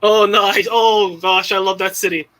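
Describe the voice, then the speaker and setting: Bright, high-voiced young man rambling. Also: fairly even intonation, a little slow. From Southern California. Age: young adult. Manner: rambling, many restarts and fillers. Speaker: live-stream guest. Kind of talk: casual chat.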